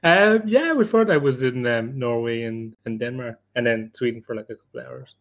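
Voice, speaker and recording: A man's voice: mid-range voice, expressive, fairly quick. A man with a mid-range voice talks casually on a panel. He feels his way through sentences in a joking, rambling fashion. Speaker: podcast panelist. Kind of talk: casual chat.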